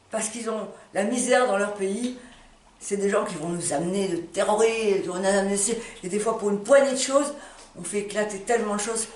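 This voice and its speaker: Woman speaking from afar in a roomy space. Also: mid-range voice. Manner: halting, emphatic, repeating words and phrases. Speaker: speaker giving a personal appeal. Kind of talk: impromptu impassioned monologue to camera. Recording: roomy, distant mic with background noise.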